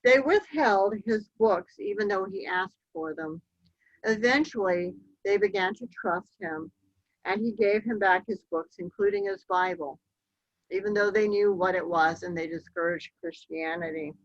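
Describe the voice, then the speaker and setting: Woman giving a slow history talk over slides, dull dry lo-fi sound. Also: mid-range voice, dry recording. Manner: measured, slow storytelling with occasional ums. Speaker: local history speaker.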